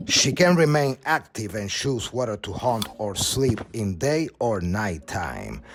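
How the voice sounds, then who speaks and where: A man's voice: fairly low pitch, very animated, a little slow, noisy background. A man reading scripted narration in the style of a nature documentary.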